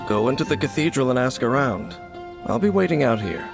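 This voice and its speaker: An actor playing an aloof, brooding male wanderer in an anime video game, speaking in a measured, formal, solemn way. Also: medium pitch, lively intonation, vocal fry. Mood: cautioning. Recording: music behind the voice.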